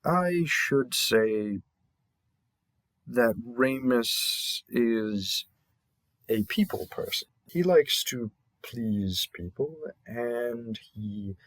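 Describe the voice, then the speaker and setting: A man playing a cheerful dating-app host in a scripted roleplay, presenting matches with theatrical emphasis and a husky voice. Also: medium pitch, expressive, extremely slow, dry recording. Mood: playful, intrigued. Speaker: matchmaking announcer. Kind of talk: scripted roleplay audio narration.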